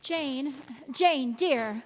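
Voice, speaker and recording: A woman playing a strange, self-possessed young woman in a radio drama, speaking earnestly and deliberately. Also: sits high, heavy background noise. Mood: pleading. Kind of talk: stage radio drama acting. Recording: echoey church hall.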